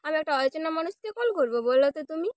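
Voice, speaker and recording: A woman acting as a young, wounded girlfriend with a high, quick voice. She pleads and presses with plaintive questions, as on a casual phone call, captured close and dry. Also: lively intonation, darkish tone, no echo. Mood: indignant, hurt. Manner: plaintive, accusing, persistent questioning. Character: hurt, insistent forgotten girlfriend pleading with her boyfriend. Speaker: prank caller.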